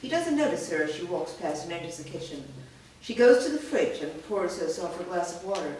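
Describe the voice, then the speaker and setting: A woman's voice: on the low side, very animated, a little fast, darkish tone, slight background noise. A woman steadily reading a screenplay's scene directions aloud in a matter-of-fact way. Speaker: reader of a screenplay. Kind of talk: staged reading of stage directions. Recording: echoey room from far off.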